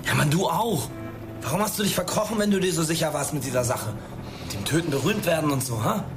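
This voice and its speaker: A man's voice: fairly high pitch, expressive, fast talker, music in the background. An actor playing an agitated, sarcastic teenage boy in a naturalistic German radio drama, confrontational and foul-mouthed. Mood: sarcastic, accusing. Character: agitated, sarcastic teenage male school shooting witness.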